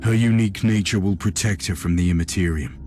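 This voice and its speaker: An actor playing a stern, devout inquisitor, solemn and formal in a video game cutscene. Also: male voice, low-pitched, quick pace, a little mellow, slight background noise, lightly acted. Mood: solemn, reflective. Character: stern, devout male imperial inquisitor, resolute warrior. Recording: music behind the voice.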